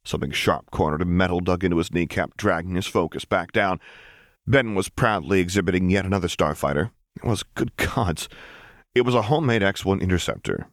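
A man's voice: low-pitched, no room sound, studio quality. A man reading a story aloud as scripted audiobook narration. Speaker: audiobook reader.

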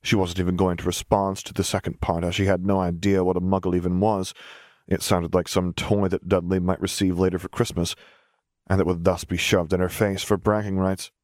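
A man's voice: low-pitched, little pitch movement, fairly quick, a little mellow, no echo, clean studio sound. A man reading a scripted story aloud as an audiobook narrator.

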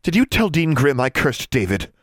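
A man's voice: on the low side, quick pace, breathy edge, dry recording, clean studio sound, pitched above the performer's own voice. A man voicing a haughty, spiteful schoolgirl in an audiobook, with a smug, sneering, accusing manner.

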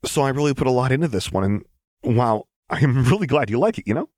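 A man voicing a manic, excitable young woman troublemaker with a giddy, cackling delivery in a fan fiction reading. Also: medium pitch, fast talker, no room sound, studio quality, pitched above the performer's own voice, close to an everyday voice.

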